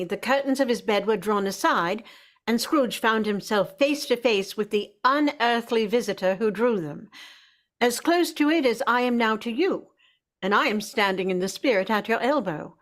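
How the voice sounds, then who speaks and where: A woman's voice: a little high, animated, moderate pace. Woman reading as a radio narrator. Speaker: narrator and announcer.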